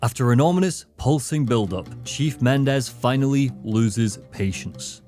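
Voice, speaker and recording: A man narrating a scripted video essay as its host, with dramatic, deliberate phrasing. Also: medium pitch, expressive, mellow. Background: faint background music.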